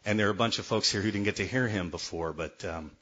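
Lower-voiced man introducing a speaker. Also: fast talker, fairly bright tone, husky, muffled recording. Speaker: meeting host introducing the speaker.

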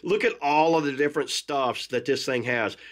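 A middle-aged man talks through a product at a desk as an unboxing host. His pitch is ordinary for a man, and his way of talking is informal and folksy. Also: normal speed. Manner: informal, folksy phrasing with "y'all" and "gonna". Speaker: gear reviewer, host. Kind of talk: casual unboxing and product review. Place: indoors at a desk.

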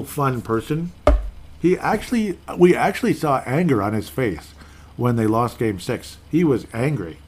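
A man's voice: medium pitch, a little mellow, slight background noise. A man hosting a casual solo podcast, reading out listener comments in an easygoing, rambling way and correcting himself as he goes. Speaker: podcast host.

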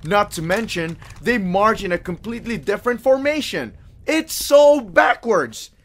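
A man's voice: high voice, animated, a little slow, fairly bright tone, exaggerated voice. A man playing a petty, self-righteous, whiny army private in an audio drama, fussy and indignant. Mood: defensive. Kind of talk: radio drama acting.

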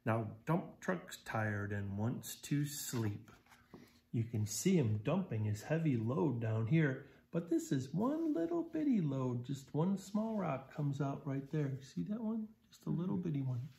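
A man's voice: a little high, very animated, unhurried, gravelly, warm tone. A middle-aged American man reading a picture book aloud, expressively, with simple explanations for young children. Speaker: volunteer story reader. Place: small living room, indoors on a sofa.

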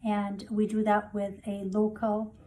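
A woman's voice: mid-range voice, monotone, a little slow, warm tone, a little hiss or hum. A woman professor describing her research steadily and precisely over a video call. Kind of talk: faculty research introduction. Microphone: video call webcam.

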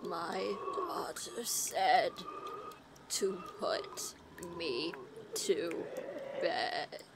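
A woman voicing a dead old mother-in-law in a horror radio play, a cold, menacing corpse with a breathy, drawn-out whisper. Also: fairly low pitch, noisy background, voice pushed down, exaggerated voice. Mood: cold, eerie. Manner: drawn-out, halting.

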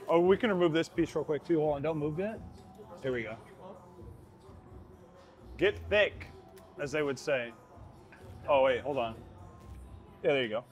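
A man's voice: on the high side, unhurried, pauses often, faint music behind. A young man insistently explaining and bantering while helping set up equipment in a large indoor gym, picked up by a nearby camera. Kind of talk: casual chat while assembling gym equipment.

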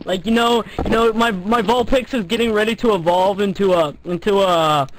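A man's voice: high voice, moderate pace, low-quality recording. A young man chatting casually and crudely on prank phone calls, with a slangy, teasing, profane manner. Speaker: prank caller.

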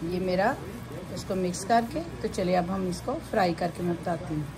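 A woman's voice: lowish voice, moderate pace. A woman giving a casual step-by-step cooking demonstration in a home kitchen, often repeating her phrases as she shows each step. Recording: noisy home kitchen recording.

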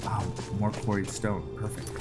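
A man giving casual video game commentary, thinking aloud in a halting way as he plays. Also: lowish voice, little pitch movement. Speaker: video game streamer narrating his play. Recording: music behind the voice. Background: loud music.

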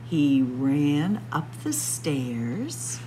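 A woman reading a picture book aloud to children as a storyteller, expressive and deliberate. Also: sits low, noisy background. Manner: expressive, deliberate, addressing young listeners as friends. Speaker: librarian storyteller. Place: indoor library room with bookshelves.

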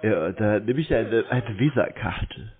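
A man's voice: lowish voice, animated, unhurried, a crowd in the background. A man in a casual phone conversation, speaking politely, evasively and with a naive air. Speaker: scam-baiter taking a call. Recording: tinny narrowband phone call audio.

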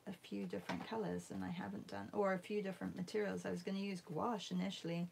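A woman talks through a live painting demo in a medium-pitched voice with plenty of fry. She is halting and musing, half speaking to herself as she works. There is a faint noise behind her. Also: little pitch movement, a little mellow. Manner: halting, musing, murmurs to self while working. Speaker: art demonstrator. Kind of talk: live painting demo, casual thinking aloud. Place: indoors at a painting table.

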